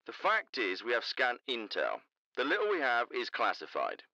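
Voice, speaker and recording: Radio-filtered special forces captain, blunt n urgent, sharp forceful voice, game cutscene. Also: male voice, medium pitch, huge pitch swings, normal speed, no room sound, highs cut off, full character voice. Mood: blunt, factual. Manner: blunt, urgent, matter-of-fact. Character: intense, determined special forces captain in the field. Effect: military radio filter. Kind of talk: video game cutscene dialogue, radio report. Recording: band-limited military radio transmission.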